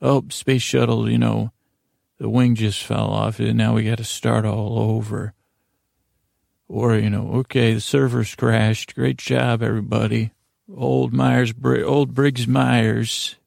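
Male podcast monologist, very gravelly, close dry sound, improvised and meandering, keeps interrupting himself. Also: medium pitch, flat delivery, a little mellow, no room sound. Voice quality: gravelly, creaky. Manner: meandering, halting, self-interrupting. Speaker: podcast host.

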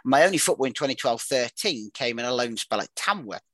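A man's voice: medium pitch, lively intonation, fairly quick, a bit bright, dry recording. Man reading quiz clues, dry. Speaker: quiz host. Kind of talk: reading footballer career clues aloud.

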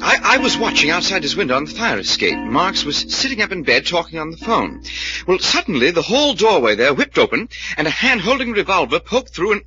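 A man's voice: on the high side, big pitch swings, a little fast, sharp tone, faint music behind. An actor playing an earnest young male adventurer in an old-time radio serial. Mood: shaken, urgent. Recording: muffled vintage radio recording.

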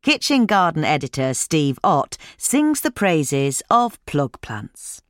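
A woman's voice: deep voice, no echo, clean studio sound. A British woman reading a scripted magazine article as a narrator.

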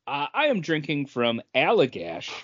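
A man's voice: a little high, huge pitch swings, moderate pace, fairly bright tone, highs cut off. A man chatting casually as a podcast co-host. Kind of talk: casual chat.

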